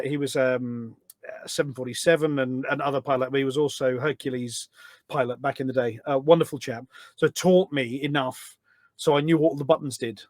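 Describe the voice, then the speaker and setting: A man's voice: mid-range voice, dry recording, clean studio sound. A British man telling anecdotes in a casual, enthusiastic, rambling way as a podcast guest. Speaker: podcast guest, voice pack developer. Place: small studio.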